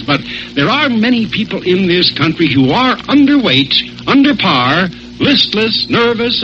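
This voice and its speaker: On a muffled old radio recording with music, an American man announces in 1940s sponsor style. His emphatic, folksy delivery swings dramatically in pitch to drive each phrase home. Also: sharp tone. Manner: emphatic, folksy persuasion with repetition.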